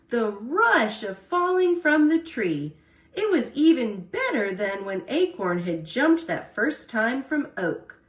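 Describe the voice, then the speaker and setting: A woman storyteller reading a children's picture book aloud in an expressive, deliberate way. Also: high voice, echoey room.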